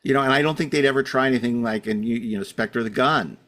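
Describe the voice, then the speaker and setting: A man's voice: medium pitch, fast talker, fairly bright tone. A man hosting a podcast in a casual, wry and opinionated conversational style. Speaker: podcast host. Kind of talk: casual podcast interviewing and chat.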